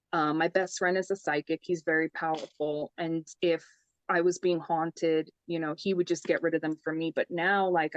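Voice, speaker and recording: A young woman with a New York accent tells her story in a lowish voice, plainly and earnestly. She talks as a psychic medium in a relaxed interview. The sound is close and dry, with no room around it. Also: no room sound, highs cut off. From the Bronx, New York. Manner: earnest, storytelling, says "I says" for past talk. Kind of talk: casual interview chat.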